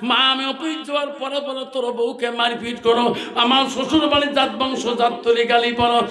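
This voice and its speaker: Preacher acting out an agitated, high-pitched young husband. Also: male voice, little pitch movement, fairly quick, bright, forceful tone. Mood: wailing, aggrieved. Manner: wailing, repetitive pleading. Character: agitated young husband, pleading and threatening, torn. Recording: echoing amplified voice.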